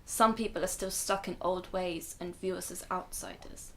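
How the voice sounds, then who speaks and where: A woman playing an earnest, protective grandmother in a radio drama, speaking in a measured, explanatory way. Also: fairly low pitch, steady tone, a bit bright. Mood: earnest, reassuring.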